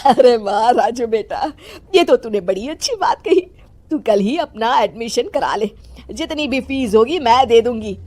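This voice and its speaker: A woman voicing a bossy, scornful old grandmother in a moral story, with a scolding manner and drawn-out exclamations. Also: fairly high pitch, animated, a little mellow. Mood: doting delight. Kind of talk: dramatised Hindi moral story.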